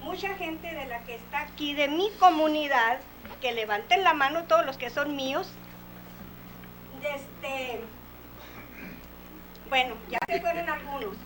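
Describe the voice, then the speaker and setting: Insistent high-voiced woman, echoey chamber. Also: normal speed, long pauses, a bit bright. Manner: insistent, impassioned, mixing in English words. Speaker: resident addressing the city council. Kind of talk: public comment at a council meeting. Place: council chamber. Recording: echoey, distant, noisy room recording.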